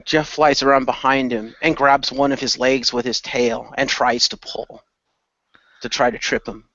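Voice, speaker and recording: A man's voice: medium pitch, flat delivery, normal speed, a bit bright, dry recording, muffled recording. A man narrating action in an amateur radio play as one of its performers.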